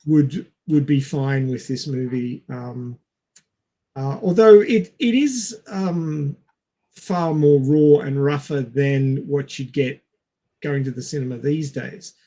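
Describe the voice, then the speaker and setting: A man co-hosting a casual film discussion, speaking in a halting, hesitant way and stretching out words. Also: mid-range voice, dark tone. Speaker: podcast co-host.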